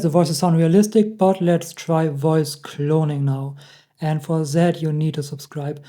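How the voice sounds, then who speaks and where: A young man with a German accent, hosting a casual software tutorial and chuckling at the results. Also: on the high side. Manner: chatty, laughs often at results. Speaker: tech tutorial host. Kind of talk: casual screen-recorded tutorial.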